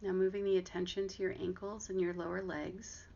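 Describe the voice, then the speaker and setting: Female meditation guide, gentle and unhurried, fairly flat intonation with gravelly fry, muffled lo-fi recording. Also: mid-range voice. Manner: gentle, unhurried instruction with long pauses. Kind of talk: guided body scan meditation. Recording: dull lo-fi audio with clear background noise.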